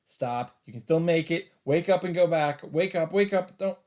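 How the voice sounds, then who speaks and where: A man's voice: a little high, normal speed, darkish tone. A man reading a visual novel aloud with dramatic delivery as a let's player, with faint game ambience behind him.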